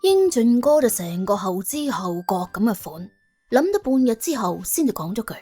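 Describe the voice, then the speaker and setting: Animated, husky Cantonese woman storyteller. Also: fairly high pitch, normal speed. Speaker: audiobook narrator. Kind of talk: dramatic, wry storytelling narration. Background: soft background music.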